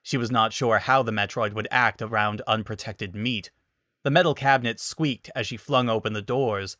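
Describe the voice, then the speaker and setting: A man's voice: on the low side, normal speed, bright, forceful tone, dry recording, studio quality. A man giving a dramatic scripted narration of a story.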